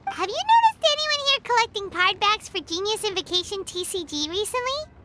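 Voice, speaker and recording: Tiny excitable fairy sidekick, very high squeaky voice, lively swings, childlike, video game. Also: female voice, brisk, heavy background noise. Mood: cheerful, helpful. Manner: refers to herself in third person, bubbly. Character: small, perky, childlike female fairy companion, chatty and excitable. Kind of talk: video game character acting.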